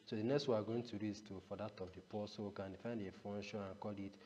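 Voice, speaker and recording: A man's voice: on the low side, steady tone, fast talker, darkish tone. A man giving an unscripted step-by-step screencast tutorial as an instructor, often repeating himself and punctuating explanations with a rhetorical "what". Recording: echoey reverberant room, noisy background.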